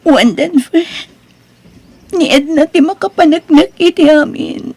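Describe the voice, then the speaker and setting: An actress playing a wronged, long-suffering wife in an Ilocano audio melodrama, plaintive and imploring, with an Ilocano accent. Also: female voice, high-pitched, a little slow, mellow, no echo. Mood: pleading. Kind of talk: Ilocano radio-style melodrama acting. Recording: music under the voice.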